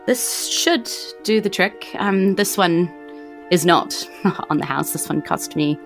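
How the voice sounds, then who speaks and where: Woman playing a gentle, halting healer, low-voiced. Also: gravelly, soft background music. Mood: matter-of-fact. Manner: halting, measured phrasing. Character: gentle, cautious female healer and potion seller.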